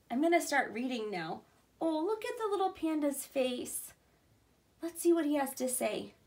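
Woman, thirties, early childhood read-aloud; high-pitched, unhurried, expressive and simple in her explanations. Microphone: camera a short distance away.

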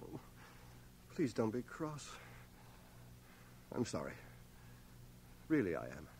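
Young wounded soldier in an old radio play, modest and stubborn, lively up-and-down voice, bit of tape noise. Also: male voice, on the low side, slow, vocal fry, full character voice. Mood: apologetic. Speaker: radio drama actor. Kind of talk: 1980 radio drama acting. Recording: noisy home cassette transfer.

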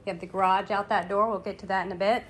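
A woman's voice: medium pitch, fairly even intonation, fast talker, slight background noise. A woman working as a real estate agent, narrating an informal walk-through of a property. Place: walking through a house and its yard.

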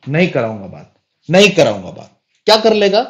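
A man's voice: on the high side, big pitch swings, brisk. A man playing an indignant middle-aged uncle in a comedy sketch, scolding and incredulous at a prank caller. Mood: exasperated.

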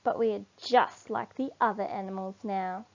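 A woman voicing a vain, excitable warthog in a children's storybook, exclamatory and emphatic. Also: medium pitch, normal speed, vocal fry, dull audio, lightly acted. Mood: smug. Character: boastful, taunting warthogs, later dismayed. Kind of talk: read-aloud children's storybook.

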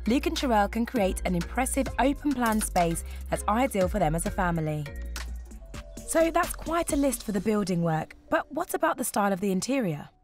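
A woman presenting, giving bright, explanatory voice-over narration for a home makeover programme. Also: mid-range voice, big pitch swings, brisk. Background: background music.

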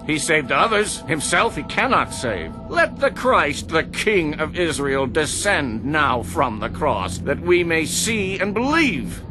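Sneering, swooping haughty priest. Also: male voice, on the high side, big pitch swings, music in the background. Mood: scornful. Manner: sneering, drawn-out delivery. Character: scornful chief priest, mocking and haughty. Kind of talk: dramatized audio Bible acting.

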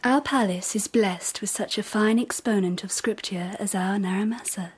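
An actress playing a gracious but capricious empress of old Japan in a radio drama, regal and commanding with a breathy voice. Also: female voice, mid-range voice, normal speed, lightly acted.

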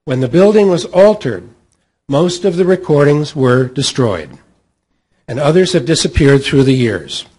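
A middle-aged American man reads a prepared introduction at a panel table. His voice sits a little high for a man and has a noticeably husky grain. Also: animated, measured pace, a little mellow, rough recording. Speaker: panel moderator. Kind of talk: scripted introduction read aloud. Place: hotel meeting room at a convention. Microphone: table microphone on a stand.

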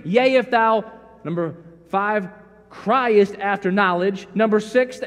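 A middle-aged man preaching a sermon in a large church sanctuary, folksy and animated, acting out bits of dialogue as he tells stories. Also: sits high. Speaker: pastor. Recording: long reverb of church hall.

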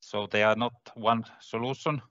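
Finnish-accented older man, low muffled voice. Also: fairly even intonation, pushed and bright. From Finland. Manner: measured, halting non-native English. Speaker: regional politician. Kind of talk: prepared remarks on a video call. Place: home office. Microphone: headset on a video call. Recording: muffled video call audio.

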